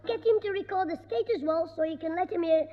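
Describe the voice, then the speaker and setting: A man playing a cheeky, scheming schoolboy in a 1960s radio sitcom, quick with a retort and stammering when caught out. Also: very high voice. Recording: dull old radio broadcast with long reverb.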